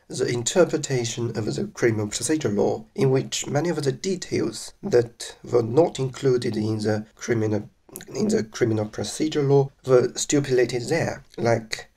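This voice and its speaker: Husky man with a Chinese accent. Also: mid-range voice, darkish tone. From mainland China. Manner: careful, measured, some restarts. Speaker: explainer of legal procedure. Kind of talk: voice-over narration over slides.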